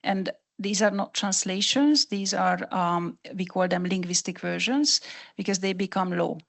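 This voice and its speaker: A woman's voice: fairly low pitch, unhurried, creaky. A woman whose first language is Hungarian, answering a question on a conference panel in an earnest, explanatory way with frequent restarts.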